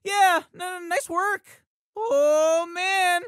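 A man's voice: very high voice, steady tone, very slow, fairly bright tone, dry recording, clean studio sound, heavily acted. A man voicing an anxious, awkward fourteen-year-old cartoon boy for a video game, with a cracking adolescent voice and a hesitant, stammering delivery. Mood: awkward, friendly. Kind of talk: video game character voice lines.

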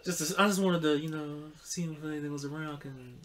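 A man streaming a video game, chatting casually and joking with excitable swearing. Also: fairly high pitch, fast talker, a crowd in the background. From United States. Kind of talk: casual gameplay chat.

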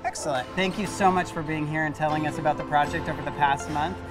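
A man's voice: fairly high pitch, fairly even intonation, fast talker, music in the background. A man in his thirties, a library director, hosting an outdoor interview at a construction site with upbeat, friendly questions.

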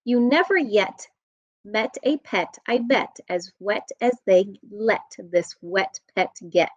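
An American woman, around her thirties or forties, reading a rhyming children's book aloud in an expressive, sing-song way. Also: mid-range voice, slow, no room sound. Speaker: children's storytime reader. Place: room at home. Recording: dull, muffled webcam audio.

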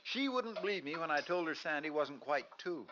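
A man's voice: on the high side, animated, moderate pace, bright, forceful tone. A man playing an easygoing, affectionate American suburban father in a 1950s radio drama. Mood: chatty. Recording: dull, noisy 1950s radio recording.

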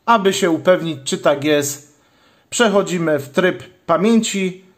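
Man, fairly high-pitched voice, methodical, explanatory, step-by-step demonstration, channel host. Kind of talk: step-by-step hands-on tutorial.

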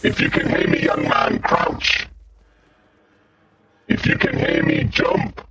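A man voicing a slow, guttural demon who calmly bosses others about while commentating on a video game, through a deep voice filter. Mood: taunting. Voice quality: guttural, growling, gravelly. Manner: slow, drawn-out, deliberate short phrases. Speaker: gaming channel host. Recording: muffled lo-fi audio, faint noise.

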